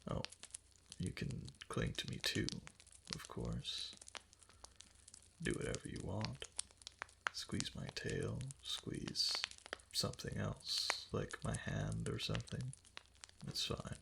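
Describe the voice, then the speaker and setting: A man playing a doting, obsessive fox-spirit husband in an intimate ASMR roleplay, breathy and half-whispered, tender and hesitant, close to the microphone. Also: lowish voice, very animated, takes it slowly, long pauses, background noise, heavily acted. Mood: adoring.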